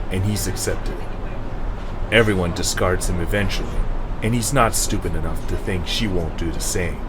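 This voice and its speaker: Low-pitched male story narrator. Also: background noise.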